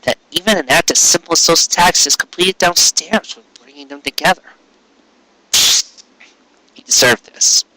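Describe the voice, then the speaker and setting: A man reading a story aloud as a dramatic narrator, earnest and expressive, pausing for emphasis. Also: fairly high pitch, rough recording. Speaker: fan fiction reader.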